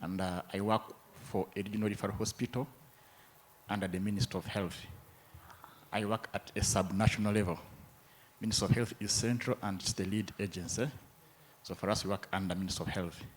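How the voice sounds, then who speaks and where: A man from Uganda who works in hospital administration, speaking from the floor at a conference in a formal, measured, methodical way. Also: on the low side, fairly even intonation, a little hiss or hum. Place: conference room.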